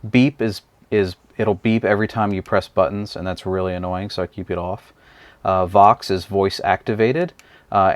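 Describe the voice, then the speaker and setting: A man with a low, deep voice talks through a handheld device in a relaxed, explanatory way, pointing out buttons and menu options as he goes. The sound is dry and close, with no room echo. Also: smooth. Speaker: product reviewer. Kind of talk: casual hands-on gadget walkthrough.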